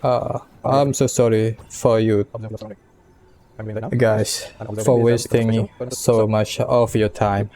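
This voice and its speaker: Slow-talking man doing casual gameplay commentary. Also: fairly low pitch, little pitch movement, crowd noise. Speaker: video game streamer. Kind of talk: casual gameplay commentary, reading on-screen text. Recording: faint game music behind.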